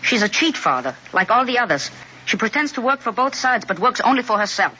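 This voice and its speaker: An actress in a 1950s radio drama playing a wary, distrustful twelve-year-old boy with a guarded, flat way of talking. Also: fairly high pitch, fairly quick. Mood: accusing. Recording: dull old radio recording with faint hiss.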